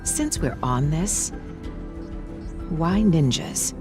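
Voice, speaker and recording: Female villainous researcher for a game: cold, oratorical, deep for a woman, very lively intonation. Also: very slow, over music, heavily acted. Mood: dismissive, stern. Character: cold, stern, zealous female research scientist. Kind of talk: scripted video game voice acting.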